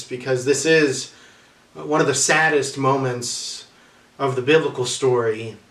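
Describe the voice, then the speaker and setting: A man's voice: medium pitch, fairly bright tone. Man giving welcome in echoey room. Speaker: church host introducing a reading. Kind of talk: spoken welcome, semi-informal address. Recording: echoey room, distant mic.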